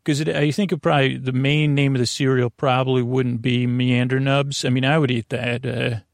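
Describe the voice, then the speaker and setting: A man hosting a bedtime podcast in a creaky voice, meandering hesitantly and often repeating and correcting himself. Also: medium pitch, little pitch movement, moderate pace, no room sound, studio quality. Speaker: podcast host. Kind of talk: rambling bedtime sleep podcast monologue.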